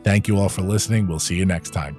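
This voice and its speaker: A man's voice: sits low, fast talker, a little mellow. A man hosting a podcast, reading an upbeat scripted outro with thanks and credits. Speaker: podcast host. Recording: music bed under voice.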